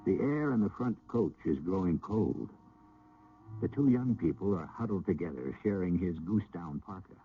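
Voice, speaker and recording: A man narrating a radio drama in a measured, literary storytelling manner. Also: low voice, expressive. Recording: muffled, noisy old radio broadcast.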